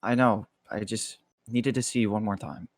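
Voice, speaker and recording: A man's voice: fairly low pitch, moderate pace, no echo, natural voice. A man performs a young college student on the run, reading his part at a script table read. He is frightened and guilty, a son out of his depth. The sound is dry and close, with little room in it. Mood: scared, sad.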